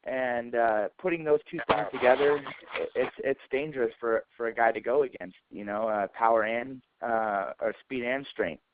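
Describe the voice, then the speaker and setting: A man, a professional fighter, giving an informal, halting interview answer over a phone conference line. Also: mid-range voice, phone-quality sound.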